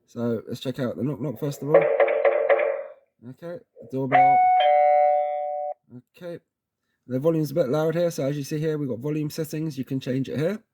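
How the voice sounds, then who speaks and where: A man's voice: fairly high pitch, moderate pace, long pauses, background noise. A man presenting a casual step-by-step tech tutorial in an informal, chatty way, often checking in with the listener as he goes. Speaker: presenter of a product tutorial series.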